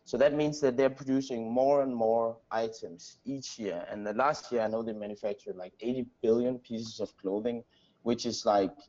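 A man's voice: medium pitch, fairly even intonation, normal speed, darkish tone, dull audio. A young Danish man with a Danish accent answering casually as an interview guest, repeating words as he searches for phrasing. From Denmark. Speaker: interview guest, company founder.

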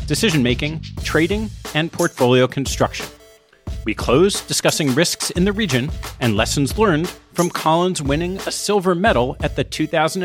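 A man hosting an investment podcast, reading a scripted introduction and then opening an interview. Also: fairly high pitch. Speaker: podcast host.

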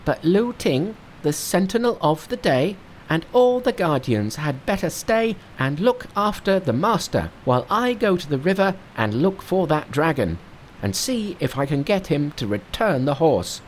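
A man's voice: normal speed, slight background noise, rough recording. A man voicing a brash, boastful, hot-tempered monkey king in a storybook reading. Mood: commanding. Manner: shrill, excitable, boastful.

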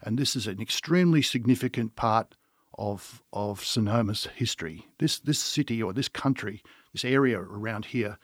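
A man with an Australian accent, a winemaker guest on a podcast, telling his story in a relaxed way with some restarts. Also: fairly low pitch, normal speed, slightly rough, dry recording, studio quality. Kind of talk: casual podcast interview.

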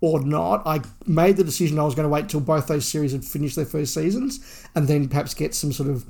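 Podcast co-host, male, noticeable vocal fry, measured and conversational, informal fan talk. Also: on the high side, warm tone. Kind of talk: casual fan podcast chat, reading listener email.

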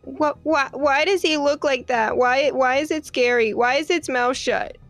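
A frightened little girl in a tabletop fantasy game stammers out question after question, voiced by a woman. Her voice is high and her speech is slow. Faint music plays behind her. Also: fairly even intonation. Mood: anxious.

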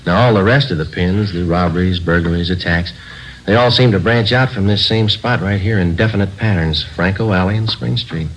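A man playing a terse, stern police detective in a 1940s radio crime drama, deadpan and matter-of-fact. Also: low voice, a little fast, slight background noise, lightly acted. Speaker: lead actor and narrator. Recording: muffled old 1940s radio recording.

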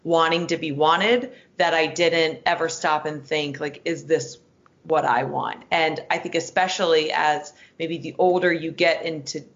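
A woman hosting a podcast, a little hoarse, chatting casually with lots of "like" and restarts. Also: low-pitched, steady tone, unhurried, roomy sound, dull audio. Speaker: podcast host. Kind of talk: casual interview chat and solo outro.

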